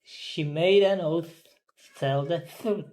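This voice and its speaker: A woman's voice: low-pitched, slow, mellow. A woman carefully repeating words and reading practice sentences as a pronunciation student. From Philippines. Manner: careful, word-by-word repetition.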